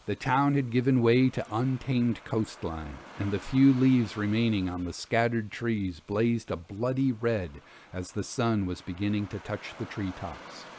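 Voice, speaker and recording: A man reading scripted literary narration as a storyteller, with formal, measured phrasing. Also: fairly low pitch, a little mellow, slight background noise, poor audio.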